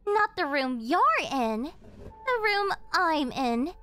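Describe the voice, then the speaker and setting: Casting: obsessive yandere kidnapper girl, audio roleplay. Very high, animated, sugary and possessive, theatrical teasing. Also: slow, exaggerated voice. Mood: bossy, coaxing. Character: obsessive yandere young woman, cheerful cafe server turned kidnapper. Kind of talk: yandere ASMR roleplay acting, anime-style. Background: music.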